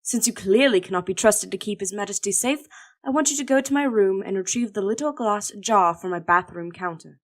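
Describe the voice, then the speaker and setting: A woman's voice: mid-range voice, fairly quick, lightly acted. A woman playing an imperious, protective young princess, a king's daughter, in an audio drama. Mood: incensed, commanding. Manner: imperious, clipped commands.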